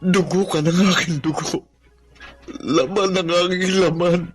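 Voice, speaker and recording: A man's voice: sits high, fairly even intonation, measured pace. An actor in a Filipino radio drama playing a sickly, proud father with an earnest, emotional delivery and a Filipino accent. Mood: anguished remorse. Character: sickly, proud, defiant father who turns remorseful.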